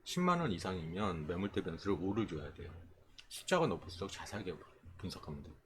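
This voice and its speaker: A man with a fairly deep voice gives an informal tutorial over noticeable background noise. His intonation moves up and down a lot, and he explains step by step, often breaking off mid-phrase before going on. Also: big pitch swings, fast talker. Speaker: stock trading instructor. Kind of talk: informal screen tutorial, explaining chart settings.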